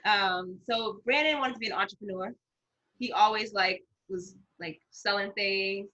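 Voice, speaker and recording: Bubbly female guest with a breathy, bright voice; muffled recording. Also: mid-range voice, normal speed. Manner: bubbly, giggly storytelling. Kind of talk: casual conversation.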